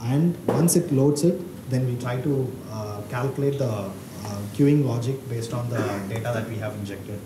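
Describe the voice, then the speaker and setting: A man's voice: medium pitch, normal speed, hardly any pauses. A man in his thirties presenting at a tech meetup with a handheld mic, talking hesitantly with frequent uhs and likes. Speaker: conference speaker. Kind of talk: technical meetup talk. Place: meeting room with audience. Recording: roomy hall with background noise.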